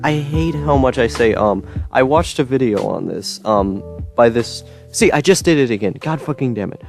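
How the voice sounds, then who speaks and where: A young man talking to camera in a rambling monologue with a droning, monotone voice, full of ums, restarts and frustrated swearing. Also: mid-range voice, moderate pace, gravelly, soft background music. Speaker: YouTuber. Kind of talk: personal monologue.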